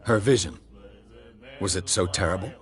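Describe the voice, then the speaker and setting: A persistent investigator from the mainland voiced by a man, polite but pressing. His voice sits very low and stays measured as he asks one question after another. He sounds like a character in a narrative video game. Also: natural voice. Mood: probing. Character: inquisitive male investigator from the mainland.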